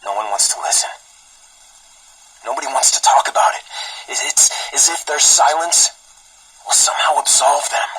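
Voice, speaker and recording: An actor playing a guilt-ridden, haunted scientist confessing haltingly in a breathy, hoarse half-whisper for a game audio log. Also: male voice, mid-range voice, lively intonation, pushed and bright, poor audio. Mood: horrified, remorseful. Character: guilt-ridden, fearful, haunted military scientist aboard a ship.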